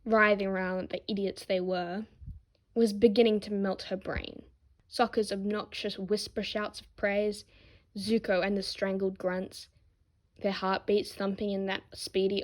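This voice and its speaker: A woman narrating a scripted fan story expressively, with wry, dramatic emphasis as an amateur reader. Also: mid-range voice.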